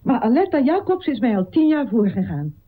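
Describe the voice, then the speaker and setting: An actress playing an earnest, determined nineteenth-century woman doctor and pioneering feminist in a radio play, speaking formally and deliberately. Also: fairly high pitch, big pitch swings, mellow.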